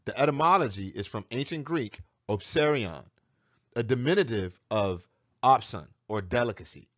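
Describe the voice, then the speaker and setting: A man's voice: medium pitch, expressive, moderate pace, a bit bright, no echo. A man teaching on scripture in a monologue, arguing his point with rhetorical questions. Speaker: religious teacher. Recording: dull lo-fi dry recording.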